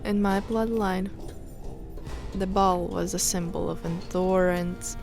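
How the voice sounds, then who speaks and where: A woman playing a proud, fervent highborn noblewoman in a fantasy audio drama, speaking in a solemn, deliberate, theatrical way. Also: fairly even intonation, vocal fry, over music. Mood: solemn, bitter.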